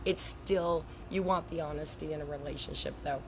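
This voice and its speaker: A woman in her fifties talks in a low, raspy voice, worn down because she is losing it. She rambles in a chatty way through a live video outdoors by a lake. Outdoor ambience and the hum of passing vehicles sit clearly behind her. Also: fairly even intonation, moderate pace. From Canada. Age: 50s. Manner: chatty and rambling, laughs between points. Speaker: handwriting analyst. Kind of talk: casual live video chat.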